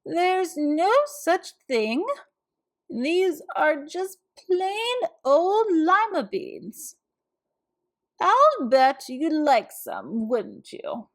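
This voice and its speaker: A woman voicing a kind, sweet old woman in a children's storybook, with drawn-out, deliberate phrasing. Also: high voice, big pitch swings, voice pushed up, heavily acted. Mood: kindly, coaxing. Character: kind, plump, sweet old woman, gently cheerful helper.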